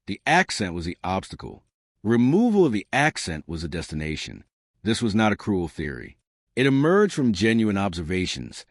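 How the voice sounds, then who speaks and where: Low, lively male documentary narrator. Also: no room sound, studio quality. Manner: measured and deliberate, with slow emphatic short sentences. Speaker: narrator of a science explainer channel.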